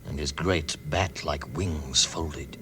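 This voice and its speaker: Deep, slow epic storyteller. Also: male voice, noisy background. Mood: grave wonder. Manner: measured, portentous. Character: grave, dramatic male storyteller of an epic tale. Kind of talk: vintage radio drama narration. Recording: vintage radio, loud music behind.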